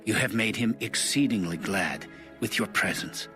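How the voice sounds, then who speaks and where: A man narrating scripture in a solemn, measured, formal reading. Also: lowish voice, animated. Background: music under the voice.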